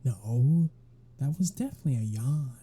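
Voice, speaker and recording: A performer voicing a teasing, unrepentant vampire in an ASMR roleplay audio drama, with a husky, breathy voice and a sardonic, casual manner. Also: medium pitch, animated, moderate pace. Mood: teasing, fond. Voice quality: husky, breathy, dark-toned. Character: teasing, unrepentant vampire predator travelling with human hunter.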